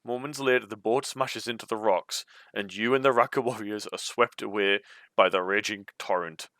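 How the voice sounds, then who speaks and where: Bright-voiced man reading a gamebook aloud. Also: fairly low pitch, steady tone, normal speed, no echo, clean studio sound. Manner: informal, chatty asides. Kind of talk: storybook read-aloud with casual commentary.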